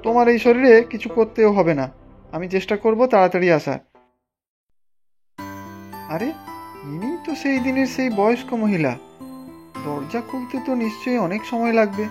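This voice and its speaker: A man's voice: high-pitched, animated, moderate pace, long pauses, music in the background. An actor playing a polite, humble young delivery boy in a cartoon, respectful and earnest. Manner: pleading, respectful. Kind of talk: animated cartoon dialogue.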